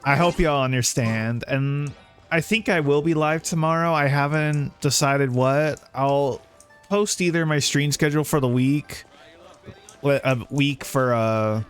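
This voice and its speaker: A man chatting casually as a game streamer, informal and rambling, often halting mid-thought. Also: on the high side, soft background music. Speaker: video game livestreamer. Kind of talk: casual livestream chat.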